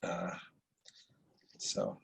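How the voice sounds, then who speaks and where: A middle-aged or older American man giving a casual, halting, rambling introduction of himself as an actor.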